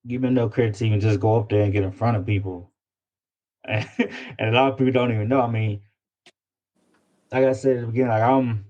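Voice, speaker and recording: A man's voice: fairly low pitch, fairly even intonation, fast talker, long pauses, lo-fi sound. A man chatting casually as an interview guest, informal and often repeating words as he searches for phrasing. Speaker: guest, independent rapper. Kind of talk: casual chat.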